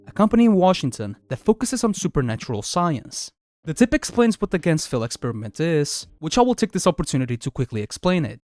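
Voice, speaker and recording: A man's voice: fairly high pitch, darkish tone, dry recording. A young man narrating a scripted video as its host, with chatty asides. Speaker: YouTube host.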